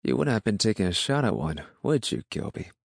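A man voicing a calm, sensible country husband in an audiobook, with a wry, reasoning, mildly folksy manner. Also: low voice, normal speed, dry recording, clean studio sound. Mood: challenging. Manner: reasoning, dryly challenging, folksy. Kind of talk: audiobook character dialogue.

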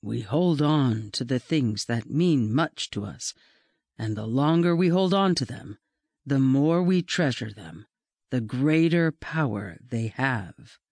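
A narrator voicing a gentle, mysterious male librarian who speaks softly and reverently, with measured dramatic pauses, in a storybook reading. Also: mid-range voice, expressive, no room sound, studio quality, voice pushed down.

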